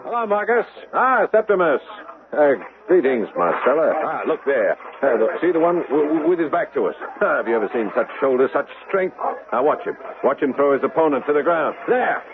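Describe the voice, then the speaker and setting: An actor playing a young, pompous, pleasure-loving Roman lord in a 1970s radio drama, florid and imperious. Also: male voice, a little high, very animated, brisk, phone-quality sound. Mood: excited, gushing. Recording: thin vintage radio broadcast.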